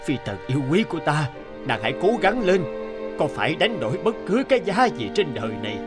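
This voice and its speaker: A man's voice: fairly high pitch, very animated, a little fast, over music. A man voicing a devoted, anxious middle-aged king in a cartoon, earnest and regal. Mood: anxious, determined.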